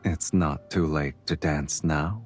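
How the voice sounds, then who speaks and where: A man playing a suave, devoted lover who teases gently and intimately in a romantic audio drama. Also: takes it slowly, faint music behind. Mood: tender, teasing. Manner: low-key, teasing, intimate. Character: suave, teasing, devoted adult male lover.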